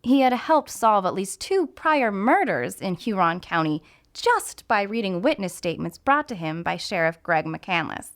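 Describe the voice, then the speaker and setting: A woman's voice: medium pitch, very animated, normal speed, few pauses. A woman narrating a scripted mystery story as an actor reading aloud. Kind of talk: scripted audiobook narration with character voices.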